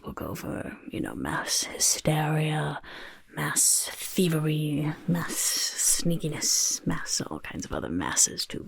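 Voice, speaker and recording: A woman voicing a pompous, gleefully chaotic, doting goblin overlord in a soft-spoken ASMR roleplay, with a breathy, husky near-whisper. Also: fairly low pitch, no echo, full character voice. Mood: grand, encouraging. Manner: speaks of self in third person, grandly self-satisfied.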